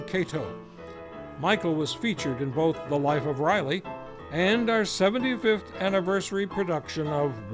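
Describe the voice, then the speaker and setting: Male radio-drama host, formal announcer read, higher voice, live guitar underneath. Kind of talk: scripted old-time radio introduction. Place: local community theater stage. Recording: live show with guitar music behind.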